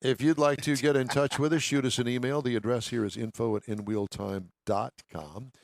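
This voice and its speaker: A man's voice: medium pitch, steady tone, brisk, gravelly, dry recording. Wry male radio host, dry sound. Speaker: host of an automotive radio show. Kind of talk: radio hosting, reading items and bantering.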